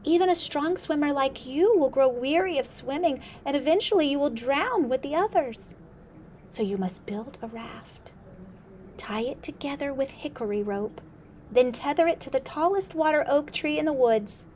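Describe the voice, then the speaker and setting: Lady doing a tiny frog prophet from a storybook, crying out warnings way up high. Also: expressive, normal speed, a little mellow, slight background noise. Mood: solemn. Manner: high wailing cries of warning. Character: small male frog prophet, urgent.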